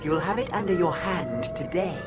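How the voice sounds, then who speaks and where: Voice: mid-range voice, animated, normal speed, music in the background, natural voice. A performer playing an insolent, defiant young captive spirit in an audio drama, sullen and pert. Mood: grudging, haughty.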